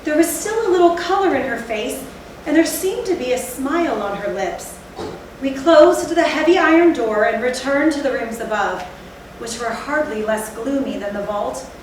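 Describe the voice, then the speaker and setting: A high-pitched, fearful Gothic storyteller acted by a woman speaks with deliberate, dramatic phrasing. The voice comes from far off in a very echoey theatre, with faint noise. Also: expressive. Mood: somber, uneasy. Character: haunted, fearful narrator recalling a night of horror. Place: theatre stage, recorded from a distance. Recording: echoey hall, distant mic, faint noise.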